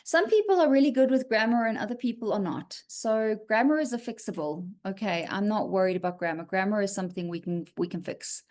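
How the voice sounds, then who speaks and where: A woman's voice: mid-range voice, brisk, hardly any pauses, a little mellow. A woman hosting a writing feedback session on a video call, chatting casually, reading out comments and reassuring writers with frequent "okay?" check-ins. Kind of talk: casual live chat reading and answering comments.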